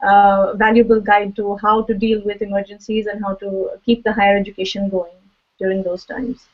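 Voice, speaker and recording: A woman's voice: medium pitch, flat delivery, normal speed. Woman from Kashmir, assistant professor, emphatic and earnest. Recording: slightly muffled recording.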